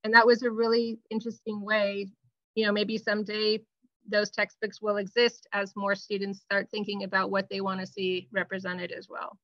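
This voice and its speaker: A woman's voice: medium pitch, completely flat delivery, moderate pace, fairly bright tone, no echo. Woman teacher, hesitant, restarts often, dry muffled call audio. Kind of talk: webinar discussion.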